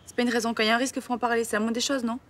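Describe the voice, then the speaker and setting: A woman's voice: a little high, level tone, very fast, slight background noise, lightly acted. An actress playing a hurt, reproachful young woman. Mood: bitter. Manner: sharp, accusing. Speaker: Samia. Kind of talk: soap opera acting.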